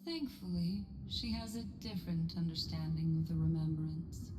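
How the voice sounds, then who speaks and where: A composed, analytical game character pondering cosmic mysteries. Her voice sits a little low and moves very slowly. It echoes in a large hall over music. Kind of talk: scripted game story voice acting. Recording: long hall reverb, music behind.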